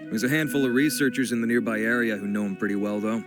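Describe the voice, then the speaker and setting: A man's voice: medium pitch, fast talker, close to an everyday voice. A man voicing a gossipy male researcher with a casual, wry, opinionated manner. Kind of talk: video game voice acting. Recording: game audio with music behind.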